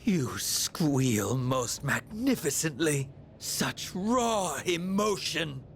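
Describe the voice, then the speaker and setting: A man's voice: a little high, sing-song, drawn-out words, soft background music, exaggerated voice. A man playing a coldly courteous, sadistic game villain who speaks in a formal, pompous, aloof way. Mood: sadistic relish. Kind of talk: video game voice acting.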